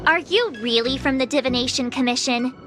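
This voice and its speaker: A woman's voice: high voice, a little fast, natural voice. A woman playing a curious, pouty, outspoken young girl traveler in a video game, with perky delivery. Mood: grumbling, suspicious. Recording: background music under the voice.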